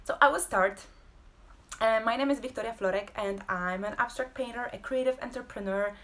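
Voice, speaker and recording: A woman, hosting a casual live-streamed talk from home, pausing often with fillers. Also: a little high, fairly bright tone. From Poland. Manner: hesitant, many fillers and pauses. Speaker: host and abstract painter.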